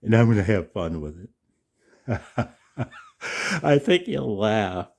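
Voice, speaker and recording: An older man talking casually and in a rambling way to his viewers as a video host, folksy and halting, with a gravelly voice. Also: fairly low pitch, expressive, a little mellow. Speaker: amateur radio operator and video host. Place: outdoors in the cold.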